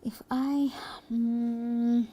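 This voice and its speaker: A woman presenting a children's puppet show, acting out dialogue in an expressive, plain-spoken, chatty way. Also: on the high side, extremely slow, poor audio.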